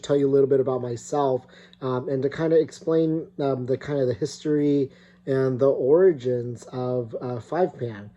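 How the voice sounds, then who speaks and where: A man's voice: mid-range voice, dark tone, muffled recording. A middle-aged man from California giving a recorded opening talk as guest speaker, hesitant with many fillers and restarts. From California, United States.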